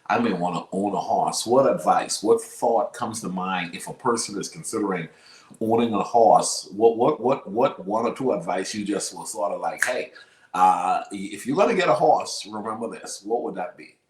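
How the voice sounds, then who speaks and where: A low-pitched man with a Bahamian accent works as an interview host. He talks conversationally and tends to circle back and rephrase what he asks. The sound is noticeably roomy and echoey. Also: animated, moderate pace.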